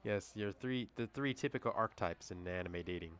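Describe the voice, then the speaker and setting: A man's voice: lowish voice, brisk, fairly bright tone, clean tone, no echo. A man chatting casually as a co-host on a game stream. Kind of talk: casual chat commentary.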